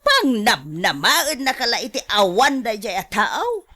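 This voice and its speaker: Radio drama role: meddling, scolding older matriarch, drawn-out emphatic delivery acted by a woman. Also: a little high, huge pitch swings, bright, forceful tone, exaggerated voice. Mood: warning, scolding. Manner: admonishing, emphatic, gossipy. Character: nosy, scolding older sister and mother with pension.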